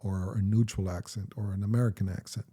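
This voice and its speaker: A man's voice: lowish voice, steady tone, dark tone, slightly rough. A middle-aged man from Jamaica with a Jamaican accent, talking reflectively in a casual conversation with his daughter. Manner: reflective, measured storytelling. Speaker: interviewee, parent.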